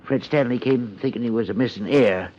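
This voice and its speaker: An actor playing an earnest, easily flustered town supervisor in a 1950s radio drama, with a folksy, hesitant way of talking. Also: male voice, mid-range voice, fairly quick, a little mellow, a little hiss or hum, close to an everyday voice. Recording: muffled vintage 1950s radio recording.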